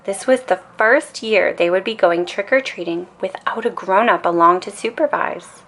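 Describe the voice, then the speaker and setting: A woman reading a children's story aloud in an expressive bedtime storytelling style. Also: mid-range voice, moderate pace.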